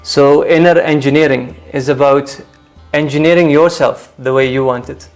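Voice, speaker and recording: A young man gives steady, reasoned answers in an interview. His pitch sits in a middle range, and a faint bed of music plays under his voice. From Iraq, raised in the Netherlands. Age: young adult. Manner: measured, reasoned explanations with rhetorical questions. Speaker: guest, full-time volunteer. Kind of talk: calm explanatory interview talk.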